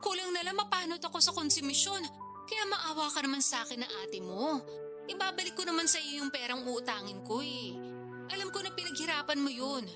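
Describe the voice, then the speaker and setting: A high-voiced, middle-aged provincial woman in a radio drama. She is the broke big sister, insistent and guilt-tripping, always wheedling. Music plays clearly behind her. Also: lively intonation, a little fast. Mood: pleading. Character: pleading, nagging older sister from the province, hard up.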